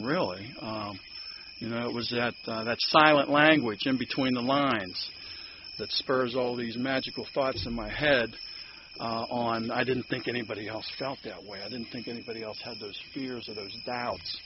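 Older man with a Pittsburgh accent. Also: mid-range voice. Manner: rambling, halting, many ums and restarts. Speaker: recovery meeting speaker. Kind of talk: personal sharing at a support meeting. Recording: dull lo-fi recording with background noise.

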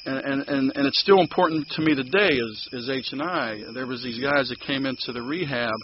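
Older man with a Pittsburgh accent. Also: mid-range voice. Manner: rambling, halting, many ums and restarts. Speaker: recovery meeting speaker. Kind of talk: personal sharing at a support meeting. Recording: dull lo-fi recording with background noise.

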